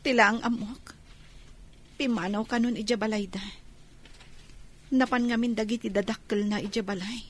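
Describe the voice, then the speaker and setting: A woman's voice: fairly high pitch, slightly rough. A woman playing a troubled, heartbroken young woman in an Ilocano radio drama.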